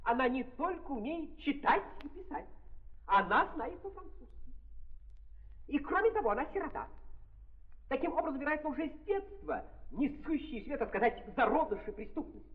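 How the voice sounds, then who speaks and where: An actor playing a smug, dim-witted prosecutor, orating pompously and haltingly in a theatrical 1930s radio drama style. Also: male voice, very high-pitched, sing-song. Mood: floundering, self-important. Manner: pompous, halting, repeats filler phrases. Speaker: prosecutor in a courtroom. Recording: dull old 1930s recording.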